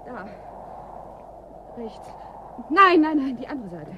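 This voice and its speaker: An actress playing a sharp-tongued, evasive woman agent in a radio drama, with a needling, guarded manner. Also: high voice, very animated, slow pace, lo-fi sound, a little hiss or hum, heavily acted. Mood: strained, urgent. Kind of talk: German radio play acting.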